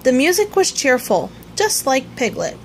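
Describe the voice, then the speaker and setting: Woman, high lively voice, expressive children's storybook narration, some background noise. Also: moderate pace. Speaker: storybook reader. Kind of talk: scripted children's story narration, read aloud.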